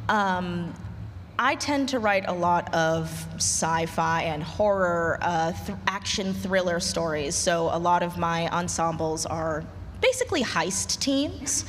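A woman explaining her writing craft as a panelist at a conference. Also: fairly low pitch. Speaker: panelist, audio drama writer. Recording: reverberant conference hall, noisy.

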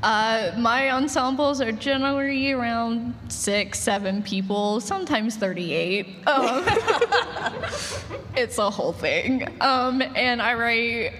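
A woman's voice: on the high side, a bit bright. A woman giving casual explanations as a writer on a conference panel. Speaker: panelist, audio drama writer. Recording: reverberant conference hall, noisy.

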